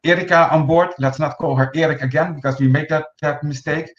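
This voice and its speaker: A man's voice: on the high side, steady tone, brisk. A man in his forties hosting an online round table, talking hesitantly with many fillers and restarts over a video call. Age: 40s. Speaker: host. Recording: echoey room, dull lo-fi sound.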